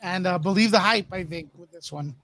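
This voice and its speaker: A man with a distinctly high voice talks in an easy conversational style. He speaks as a guest in a panel discussion. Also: a little fast, a bit bright, no room sound. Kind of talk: casual chat.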